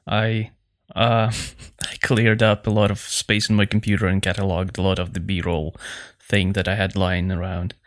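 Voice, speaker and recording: A man chatting casually as a podcast producer and co-host, halting and repeating words as he goes. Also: low voice, level tone. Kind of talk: casual chat.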